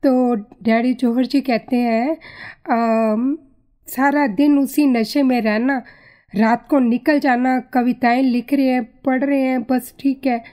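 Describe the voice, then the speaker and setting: A woman with a high, mellow voice and a Punjabi accent recounts family memories as a guest. She talks at a slow, unhurried pace in a conversational storytelling style. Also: steady tone. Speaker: guest recalling family history.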